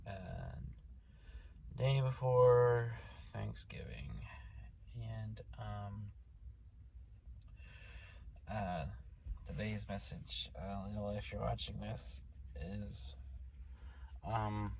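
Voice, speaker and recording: Hoarse low-voiced Oklahoma man rambling. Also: very slow, long pauses, a little mellow, a little hiss or hum, low-quality recording. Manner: rambling, many fillers and restarts. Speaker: vlogger. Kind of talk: casual talk to camera.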